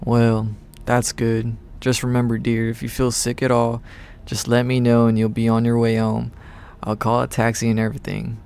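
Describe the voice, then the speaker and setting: A man's voice: mid-range voice, monotone, normal speed, creaky, darkish tone, smooth, lightly acted. A man voicing a kindly middle-aged woman scientist in an audio story, soothing and motherly. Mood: kindly, reassuring.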